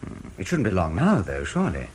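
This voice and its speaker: Over clear background noise, a suave intelligence man speaks in a low voice with very mobile intonation. His radio drama delivery is clipped, polite and quietly needling. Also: wildly swooping pitch, fairly quick. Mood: politely needling. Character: suave male British intelligence interrogator, mild but needling.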